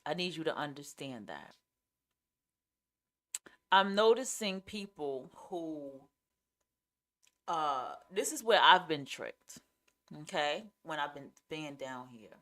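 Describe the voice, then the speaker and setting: A woman with a New York accent and a raspy, carrying voice, giving a casual, opinionated monologue in a blunt, emphatic way as a podcast host. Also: sits low, animated, slow, long pauses, fairly bright tone.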